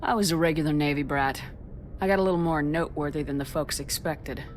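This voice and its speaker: An actress playing a resolute, composed military commander in a video game, with controlled, direct delivery. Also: female voice, low voice, brisk, slight background noise, close to an everyday voice. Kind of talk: dramatic video game dialogue.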